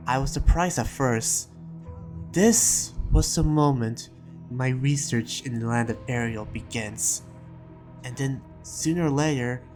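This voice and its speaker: An earnest demon slayer played by a man narrates his journal in a game roleplay. He is reflective and halting, with a mid-pitched voice and faint music behind him. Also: a little mellow, lightly acted. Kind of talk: dramatic roleplay monologue, read as a journal.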